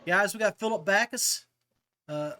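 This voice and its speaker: A man's voice: sits high, a little slow, pushed and bright. A middle-aged man hosting a podcast interview in a chummy, folksy, appreciative way, chatting casually with his guests. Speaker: podcast host.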